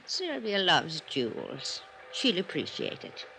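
Slow, gravelly ailing socialite. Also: female voice, fairly low pitch, wildly swooping pitch, bright, forceful tone, exaggerated voice. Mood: confiding. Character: ailing, aging wealthy former socialite woman, stubbornly dismissive. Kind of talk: old-time radio drama acting. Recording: noisy old radio recording.

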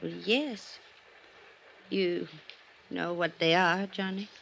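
Sickly aging rich lady, old radio drama, creaky and slow, waves everything off, bit of hiss. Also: lowish voice, fairly even intonation, fairly bright tone, exaggerated voice. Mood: frail, dismissive. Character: ailing, aging wealthy former socialite woman, stubbornly dismissive. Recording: noisy old radio recording.

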